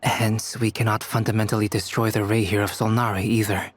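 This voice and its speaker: A man playing a polite, scholarly researcher in a game dub, speaking in a measured, formal, analytical way. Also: lowish voice, level tone, no room sound, lightly acted. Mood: grave, absorbed. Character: polite, scholarly young male researcher absorbed in theory. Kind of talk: English video game dub acting.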